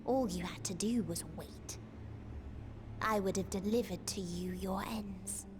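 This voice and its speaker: A woman playing a sorrowful, nihilistic young otherworldly being in a video game, speaking in solemn, measured, fatalistic lines. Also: medium pitch, steady tone, background noise, lightly acted. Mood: cold reproach. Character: sorrowful, nihilistic young female hive-mind being from the stars. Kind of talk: video game cutscene acting. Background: music.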